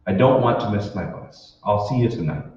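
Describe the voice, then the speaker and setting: Heard from far off in a very echoey room, a low male voice swings widely in pitch. It is an actor as a bewildered, skeptical ordinary husband in a radio play, full of puzzled questions. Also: lively intonation, moderate pace, darkish tone, natural voice. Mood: distracted, evasive. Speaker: amateur stage actor. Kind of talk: old-time radio drama acting. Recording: roomy, distant, dull hall recording with hiss.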